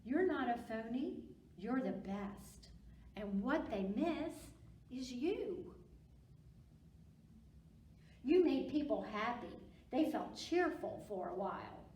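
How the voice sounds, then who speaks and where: Woman reading as an earnest, slow-talking loyal pony friend. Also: fairly high pitch, big pitch swings, darkish tone, full character voice. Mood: earnest, reassuring. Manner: earnest, reassuring. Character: loyal, encouraging male pony best friend. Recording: roomy, distant mic with background noise.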